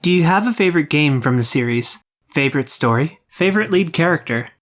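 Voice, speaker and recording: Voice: mid-range voice, lively intonation, brisk. A podcast host reading out scripted welcomes and interview questions.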